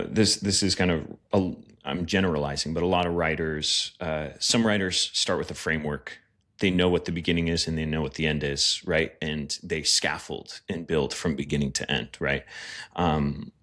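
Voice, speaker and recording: A man's voice: very deep voice, a bit bright. A man, a pastor and writer, talking as a podcast guest in a loose, rambling way, often checking in with "right?". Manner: rambling, tags thoughts with "right?". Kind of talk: casual podcast conversation.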